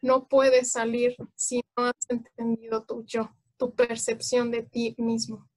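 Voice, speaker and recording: Flat-toned woman explaining calmly. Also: a little high, slow, no room sound, poor audio. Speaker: guest. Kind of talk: casual conversation.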